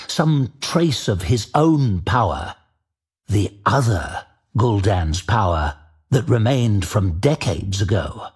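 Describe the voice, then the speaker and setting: A man giving dramatic scripted narration of a fantasy tale in a measured, grave storytelling manner. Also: medium pitch, darkish tone, breathy edge. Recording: music behind the voice.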